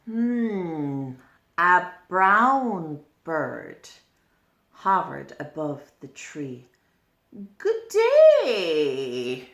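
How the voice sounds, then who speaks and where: A woman's voice: low voice, lively intonation, drawn-out words, vocal fry, pushed and bright, roomy sound. A woman telling a picture-book story to young children, expressively prompting them with questions.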